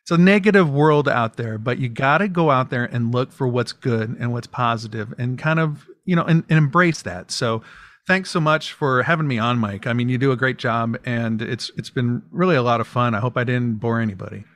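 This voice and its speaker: A man talks loosely on a podcast, circling back and restarting his sentences as he plugs his own show. His voice sits at an ordinary middle pitch, and the recording is dry with no room sound. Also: a little fast, a bit bright, no room sound. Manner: rambling, many restarts and fillers. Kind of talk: casual interview chat.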